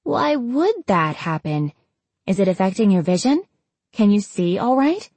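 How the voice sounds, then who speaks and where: A woman voicing an eager young female dragon scientist in an audiobook, chattering in an excited, inquisitive, rambling way. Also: medium pitch, measured pace, breathy edge, no room sound, pitched above the performer's own voice, full character voice. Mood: anxious curiosity.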